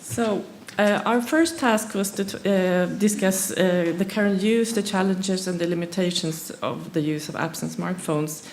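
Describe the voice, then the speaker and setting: A woman's voice: on the low side, fairly even intonation, a little hiss or hum. A woman from Sweden, speaking English as a second language, giving a conference presentation with many hesitations and restarts. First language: not English. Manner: halting, many fillers and restarts.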